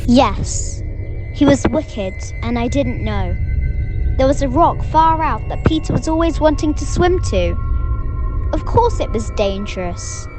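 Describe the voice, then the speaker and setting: A woman's voice: high-pitched, lively intonation, measured pace, over music. A young woman acting a desperate, defiant governess accused of murder in an amateur school radio play. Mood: pleading, defensive.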